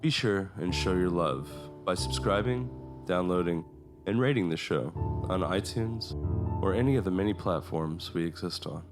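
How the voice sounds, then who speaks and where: A man with a deep voice delivers a scripted podcast promo as its announcer. Plucked guitar music plays clearly underneath. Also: moderate pace. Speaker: show announcer.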